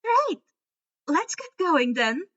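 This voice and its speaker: A woman voicing a brisk, commanding teenage girl who leads her friends in a story reading. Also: extremely high pitch, very animated, a bit bright, dry recording, dull audio, pitched above the performer's own voice, heavily acted. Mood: eager, brisk. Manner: commanding, impatient.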